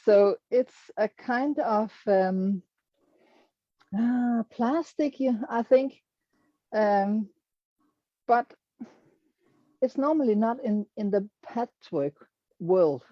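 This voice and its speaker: Danish woman, slow and hesitant. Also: on the high side, expressive, no room sound, dull audio. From Denmark. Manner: hesitant, searching for English words, self-deprecating humor. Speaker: quilter presenting her work. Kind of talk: presentation to an online audience.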